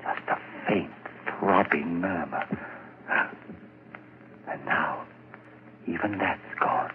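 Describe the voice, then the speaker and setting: A man's voice: deep voice, takes it slowly. An actor playing a tense male murderer in a 1940s radio drama, talking to himself in an obsessive, menacing half-whisper. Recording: muffled old radio broadcast with hiss.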